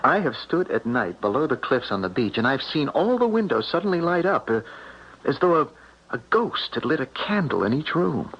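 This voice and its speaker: Higher-voiced, earnest young sailor. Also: male voice, big pitch swings, slightly rough, full character voice. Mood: earnest, haunted. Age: young adult. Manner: halting, earnest. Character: wild young sailor, earnest, challenging, returned home. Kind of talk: old-time radio drama acting. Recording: muffled, noisy 1970s radio broadcast.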